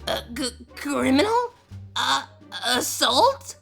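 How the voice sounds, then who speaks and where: Bright high female voice, woman acting guilty cartoon pony, nervous, hesitant, evasive, comic fan audio drama. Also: very animated, slow pace, creaky, soft background music, exaggerated voice. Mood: guilty panic. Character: sheepish, guilty young female pony roommate.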